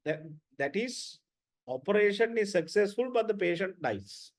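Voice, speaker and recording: A man's voice: high-pitched, animated, normal speed. A man lecturing students in an online class, blunt and repetitive, often checking with "right?".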